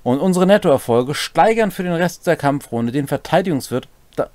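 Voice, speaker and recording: A man's voice: fairly high pitch, expressive, normal speed, a little mellow, no room sound. A man in his forties casually explaining game rules as a co-host, with dry, playful summaries in plain words. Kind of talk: casual rules walkthrough with joking asides. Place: small home room, indoors.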